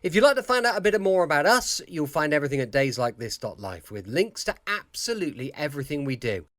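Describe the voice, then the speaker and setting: Animated, higher-pitched British podcast host. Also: male voice, fast, dry recording, clean studio sound. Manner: chatty, informal. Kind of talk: casual podcast introduction. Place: small bedroom, indoors.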